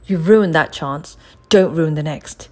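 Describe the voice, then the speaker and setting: A woman's voice: low-pitched, unhurried, warm tone. A woman voicing a stern, pompous, bullying male workhouse official in a storybook, bossy and threatening. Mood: angry.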